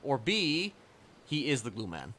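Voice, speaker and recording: A man's voice: mid-range voice, wildly swooping pitch, a little slow, sharp tone. A man improvising casual roleplay chat in a hesitant, conspiratorial, rambling way. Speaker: roleplay game player.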